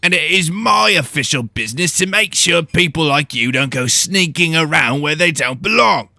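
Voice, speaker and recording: A man voicing a giant, threatening female house steward with a gruff growl in a fantasy audio drama. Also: a little high, expressive, normal speed, sharp tone, no echo, clean studio sound, voice pushed up, heavily acted.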